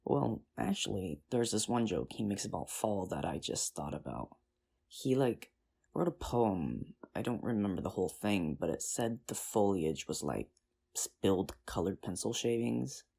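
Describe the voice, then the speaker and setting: A low, heavily creaky voice acted out for an ASMR roleplay of an earnest, nerdy teacher on a tentative date. The delivery is husky and intimate, rambling along with hesitant, self-deprecating charm. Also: sing-song, unhurried, mellow, exaggerated voice. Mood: playful, friendly. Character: nerdy, earnest high school teacher nervously courting a date.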